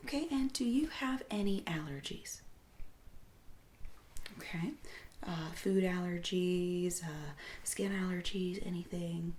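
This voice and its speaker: A woman speaking softly and breathily, often near a whisper, as a doctor gently asking unhurried questions in an ASMR roleplay. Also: lowish voice, a little hiss or hum. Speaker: ASMR performer playing a doctor. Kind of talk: soft-spoken ASMR medical roleplay.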